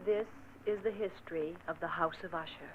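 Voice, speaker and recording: A woman playing a soft-spoken, timid young lady. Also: on the low side, moderate pace, lightly acted. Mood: solemn. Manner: soft, solemn and hushed. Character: gentle young woman, timid and dutiful, narrating her fate. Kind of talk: 1940s radio acting. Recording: tinny old radio transcription with faint hiss.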